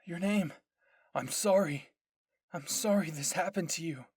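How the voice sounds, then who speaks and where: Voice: medium pitch, a little slow, a little mellow, slightly rough, no echo, studio quality, voice pushed up. Performer voicing an anguished, pleading teenage boy soldier. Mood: tender pleading. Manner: urgent, emotional pleading.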